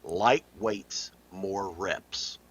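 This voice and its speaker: Slow, husky man giving advice. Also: sits low, muffled recording. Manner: emphatic, repeating his advice. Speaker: podcast co-host. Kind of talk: casual chat.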